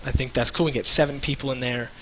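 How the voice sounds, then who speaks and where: A man's voice: medium pitch, fairly even intonation, quick pace, noisy background. A man co-hosting a live stream in casual, jokey chat, rambling and searching for words. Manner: rambling, loose, jokey, hesitant.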